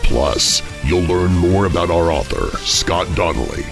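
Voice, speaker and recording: A man's voice: low-pitched, expressive, a little slow, creaky, over music. A man hosting a scary story podcast, reading a scripted sign-off in a theatrical, spooky way. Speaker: podcast host.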